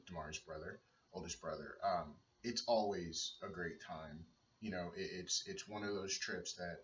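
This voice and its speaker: A man's voice: a bit bright, slight background noise. A man in his thirties, a father, chatting casually in a home video blog, hesitant and rambling with many fillers and restarts. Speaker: vlogger and father. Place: dim room at home. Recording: roomy, distant, dull low-fi sound.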